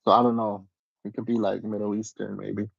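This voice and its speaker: A slightly muffled, close and dry recording of a man interviewing someone casually. His voice sits on the low side. He talks in a laid-back, slangy way and readily agrees as he moves between questions. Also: little pitch movement, brisk, gravelly, breathy edge, no echo. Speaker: livestream interviewer and host.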